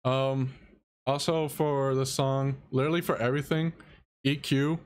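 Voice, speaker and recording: Soft-spoken slow young man streamer. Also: medium pitch, level tone, slight background noise. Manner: soft-spoken, halting, reads chat aloud. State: dry mouth. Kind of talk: casual live-stream tutorial.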